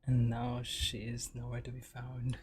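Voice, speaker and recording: A man streaming a game, reading text aloud and reacting casually in a low-key mutter. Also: completely flat delivery, unhurried, background noise. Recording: loud game music behind voice. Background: game music and clicking.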